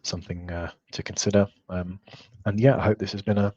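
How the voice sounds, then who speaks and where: A man in his thirties with a croaky, hoarse voice, giving a relaxed webinar presentation from a home office over a headset. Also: lowish voice, brisk, dry recording, lo-fi sound. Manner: relaxed, informal, frequent fillers. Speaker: guest presenter.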